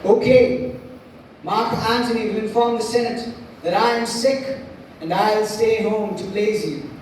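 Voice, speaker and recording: A young man playing a proud, fearless Roman ruler in a school stage play, with lofty, deliberate declaiming. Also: high-pitched, darkish tone. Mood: conceding. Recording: distant, very echoey hall, faint noise.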